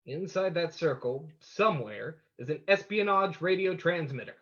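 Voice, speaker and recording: Man as a high-voiced husky sleuth, muffled recording. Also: animated, moderate pace, a bit bright. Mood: briefing. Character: confident male sleuth, suave and in charge. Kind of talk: old-time radio drama acting. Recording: dull, muffled sound.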